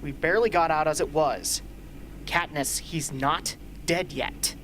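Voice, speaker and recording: Radio play role: bossy, gruff male mentor, man acting, middle-aged, faint noise behind. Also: on the high side, a little slow, fairly bright tone. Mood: tense, regretful. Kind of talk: amateur radio drama acting. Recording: hobby recording with ambient noise.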